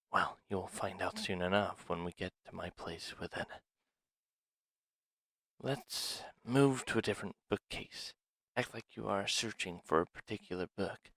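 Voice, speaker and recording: A man playing a sly, scheming dragon in an ASMR roleplay, speaking in a hushed, deliberate, confiding manner. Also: fairly low pitch, breathy edge, no room sound, exaggerated voice. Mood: secretive, amused, then conspiratorial. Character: sly, scheming male dragon plotting a museum heist. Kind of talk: ASMR roleplay audio drama, one side of a dialogue.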